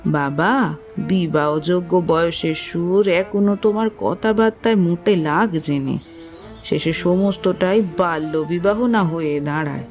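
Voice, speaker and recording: An actress playing an affectionate, skeptical older aunt who chides gently in an audio drama. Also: female voice, lowish voice, unhurried, natural voice. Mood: gently doubtful. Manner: gently chiding. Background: music.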